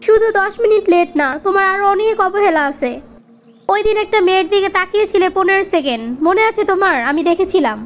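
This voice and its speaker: A woman's voice: extremely high pitch, animated, fast. A woman with a Bangladeshi accent voicing a reproachful, sulky young girlfriend in a cartoon comedy. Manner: reproachful, nagging.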